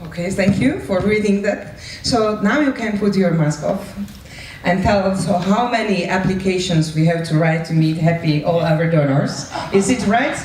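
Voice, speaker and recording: A woman's voice: medium pitch, moderate pace, darkish tone. Echoey, distant woman moderating an event. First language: not English. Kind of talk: live event hosting, introducing speakers. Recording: echoey room, distant mic, background noise.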